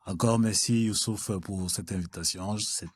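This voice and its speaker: A man from Cameroon, answering interview questions as a guest in a measured way with frequent filler sounds. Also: fairly low pitch, steady tone, no room sound, studio quality. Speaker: guest, mining executive.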